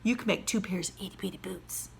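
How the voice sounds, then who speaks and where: A woman's voice: medium pitch, fast talker, creaky, breathy edge, voice pushed down, exaggerated voice. A woman playing a bootmaker's practical wife in a storybook, with folksy country phrasing. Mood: eager.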